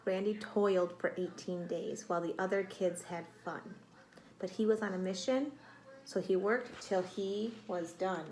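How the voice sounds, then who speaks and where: A woman reading a picture book aloud to children like a teacher, expressive and dramatic, with exclamations and prompting questions. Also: mid-range voice, unhurried, slight background noise, rough recording. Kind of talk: read-aloud storytelling with questions to young listeners.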